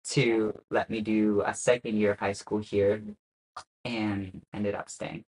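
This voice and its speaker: A man's voice: fairly low pitch, takes it slowly, rough recording. Young man telling his story. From Spain. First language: Spanish. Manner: relaxed, hesitant with frequent "uh" fillers and soft laughs. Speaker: guest. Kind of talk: casual interview chat.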